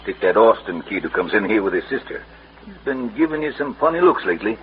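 An actor playing a plain-spoken, wary outlaw sidekick with folksy frontier grammar in an old radio western. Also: male voice, lowish voice, sing-song, fast talker. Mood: wary, grudging. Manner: folksy, ungrammatical frontier talk. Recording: muffled old radio recording with hiss.

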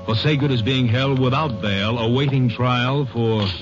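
A man's voice: medium pitch, a little slow. A man narrating a 1950s radio crime drama in a dramatic, scripted style. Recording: muffled vintage radio recording. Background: music.

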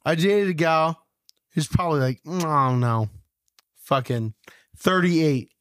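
A man's voice: a little high, expressive, a little slow, fairly bright tone, no echo. A man in his twenties hosting a podcast, rambling and restarting as he chats casually. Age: 20s. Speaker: podcast host. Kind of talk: casual chat.

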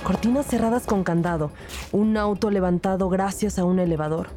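A woman's voice: mid-range voice, a little fast, natural voice. A woman playing a determined police detective who narrates her case in a scripted audio drama. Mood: tense narrating. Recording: music bed behind the voice.

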